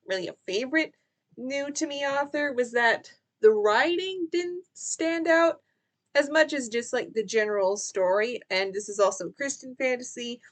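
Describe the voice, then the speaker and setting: A young woman chatting casually through a book tag, rambling with many fillers and restarts. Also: a little high. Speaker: book vlogger.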